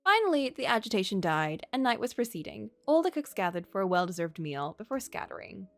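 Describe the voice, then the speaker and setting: A woman reads a visual novel aloud for a stream with a lively, swooping delivery and relaxed asides. Her voice sits dry and close, with faint music behind it. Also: mid-range voice, brisk, dry recording. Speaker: game streamer.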